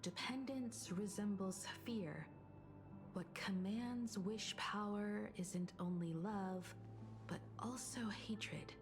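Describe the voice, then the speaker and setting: A wise, grave figure who speaks very slowly and deliberately, musing on philosophy. Game music plays clearly behind her. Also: medium pitch. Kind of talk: game voice acting.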